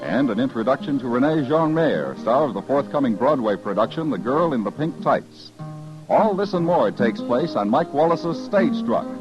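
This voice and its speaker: Animated American vintage radio announcer, man. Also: mid-range voice, normal speed, over music. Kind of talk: 1950s scripted radio announcing. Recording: dull lo-fi vintage radio broadcast.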